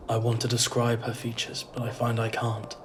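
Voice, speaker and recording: A man's voice: medium pitch, completely flat delivery, moderate pace, close to an everyday voice. An actor playing a polite, uneasy traveller in an audio drama, earnest and hesitant in his delivery. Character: uneasy, polite male traveller narrating his strange homecoming. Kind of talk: audio drama narration and dialogue. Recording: clearly noisy ambience behind. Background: ambience noise.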